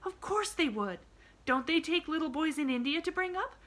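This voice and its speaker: A woman voicing an eager, cheerful young girl who talks in breathless rushes. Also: high voice, expressive, pitched above the performer's own voice. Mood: excited. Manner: bright, breathless and chattering.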